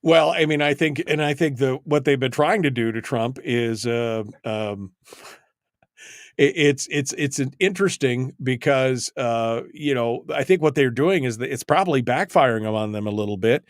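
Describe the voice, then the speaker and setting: A man hosting a call-in talk radio show, talking in a halting way and often repeating words. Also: mid-range voice, no room sound, studio quality.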